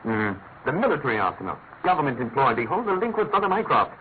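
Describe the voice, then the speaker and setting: Brilliant, restless male detective for a classic radio mystery, played by a man: articulate, quick and lively in pitch, on a narrow-band recording. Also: slight background noise, close to an everyday voice. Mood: keenly inquisitive. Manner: articulate, declamatory, musing. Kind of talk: classic British radio drama acting.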